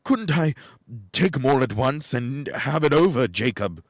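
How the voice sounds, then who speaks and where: A man playing a nervous, crotchety old miser in a radio play, husky and faltering, with a theatrical manner. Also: medium pitch, animated, unhurried, no room sound, studio quality. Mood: reluctant.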